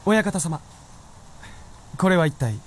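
An actor playing a polite, anxious young shape-shifting fox in human form, speaking earnestly in a radio drama. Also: male voice, a little high, expressive, breathy edge, full character voice. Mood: polite, cautious. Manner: earnest, polite, quick to exclaim. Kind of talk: Japanese radio drama acting.

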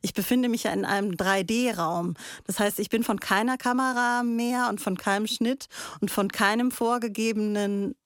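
A woman's voice: mid-range voice, steady tone, moderate pace, smooth, dry recording, studio quality. A woman co-hosting a conversational radio discussion in a reflective, explanatory way. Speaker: radio show co-host.

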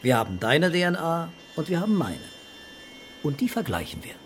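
An actor playing a middle-aged police commissioner in a radio crime drama, speaking in a dry, sarcastic, unflustered way. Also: male voice, fairly high pitch, very animated, normal speed, a bit bright, a little hiss or hum. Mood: sardonic, dismissive. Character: male police commissioner, unafraid, sardonic, stubbornly denying fatherhood.

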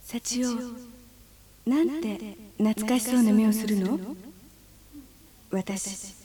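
A woman's voice: a little high, drawn-out words, breathy edge, heavily acted. A woman playing a wistful, lonely young girlfriend in an amateur radio drama. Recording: amateur radio drama under loud music.

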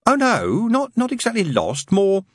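A man's voice: sits high, huge pitch swings, a little slow, no room sound, clean studio sound, voice pushed up, heavily acted. A man voicing a fussy, anxious male angel who talks in a prim, hesitant, apologetic way. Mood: flustered.

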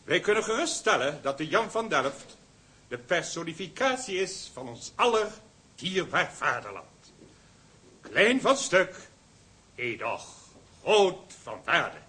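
An actor playing a pompous, jovial middle-aged consul, giving a florid ceremonial speech in a period drama. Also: male voice, a little high, sing-song, slow, bright, forceful tone, exaggerated voice. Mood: grandiose. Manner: bombastic, florid oratory. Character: pompous, jovial colonial consul making a grand toast.

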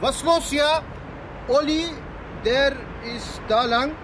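A man's voice: very high voice, slow pace. An actor playing a curious, streetwise man from a Berlin corner shop, speaking clipped slang in a radio drama. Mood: alert. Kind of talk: naturalistic radio drama acting. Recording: very loud street noise.

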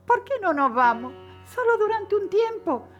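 Actress as a high-voiced, pleading frightened wife. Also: female voice, sing-song, normal speed, highs cut off, faint music behind. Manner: pleading, anxious. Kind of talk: audio drama acting.

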